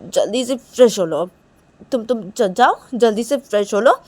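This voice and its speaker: A woman's voice: a little high, lively intonation, moderate pace, a little mellow, no echo, pitched above the performer's own voice. A woman voicing an upset young woman who scolds out of care, insistently repeating her phrases in an improvised dramatic story. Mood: urgent, concerned.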